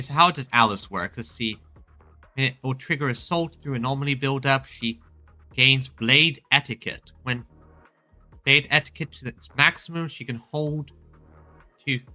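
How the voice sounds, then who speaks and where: A man with a very bright, forceful voice streams a video game. He talks casually and haltingly, thinking aloud and reading on-screen text, with game music faintly behind him. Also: medium pitch.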